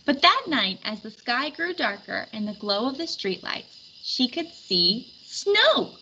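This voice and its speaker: A woman reading a children's picture book aloud with expressive storytelling. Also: fairly high pitch, unhurried, lo-fi sound, slight background noise. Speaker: storybook reader.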